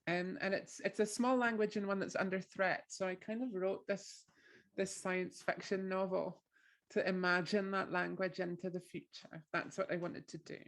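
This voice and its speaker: A woman poet with an Orkney accent, talking thoughtfully with many pauses and restarts before reading her verse. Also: medium pitch, steady tone. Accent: Orkney Scots. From Orkney, Scotland. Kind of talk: poetry reading with introduction.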